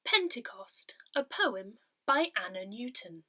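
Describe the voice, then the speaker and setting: A woman reading a scripted newsletter article aloud as narration, in measured, separate phrases. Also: a little high, big pitch swings, bright, forceful tone. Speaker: newsletter reader.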